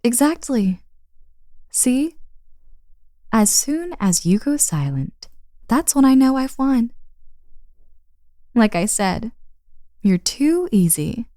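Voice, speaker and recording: A woman's voice: on the high side, very animated, slow pace, pauses often, dark tone, dry recording, studio quality. A woman playing a confident, teasing young woman in an intimate roleplay audio drama, speaking casually and playfully to the listener.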